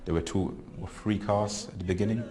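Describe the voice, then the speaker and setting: A man, telling his story in an interview in a prison, halting and searching for words. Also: on the low side, steady tone, crowd noise. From Germany. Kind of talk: recounting experiences in an interview.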